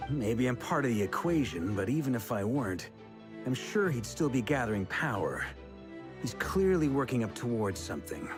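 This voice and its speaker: A man playing a seasoned, easygoing middle-aged knight commander in a video game, speaking in a measured, reassuring, thoughtful way. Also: mid-range voice, big pitch swings, music in the background, close to an everyday voice. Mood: grave, steady.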